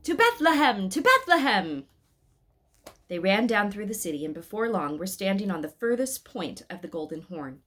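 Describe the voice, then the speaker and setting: A woman's voice: fairly low pitch, animated, brisk, few pauses. A woman reading a story aloud as a scripted narration. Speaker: reader of an Advent story.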